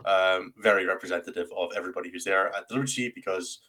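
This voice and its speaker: A man with a slightly low voice hosts a casual round table. His delivery is wry and self-deprecating, teasing the people he talks to. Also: steady tone, fast, pushed and bright. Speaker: podcast host and team captain.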